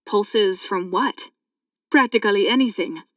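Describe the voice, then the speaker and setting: An actress playing a knowing woman in a sci-fi audiobook drama, speaking in a clear, measured, explanatory way. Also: mid-range voice, a bit bright, dry recording, clean studio sound, far from the mic, close to an everyday voice. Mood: calm explaining. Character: two sci-fi women, a knowing guide and a curious thief. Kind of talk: full-cast audiobook dialogue.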